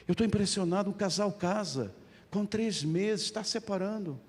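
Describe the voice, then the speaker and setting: A man's voice: high-pitched, normal speed, a little mellow. A middle-aged man with a European Portuguese accent preaching an evangelical sermon as a pastor, addressing his listeners directly with emphatic repetition. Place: large church hall, live service. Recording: long hall reverb of a church.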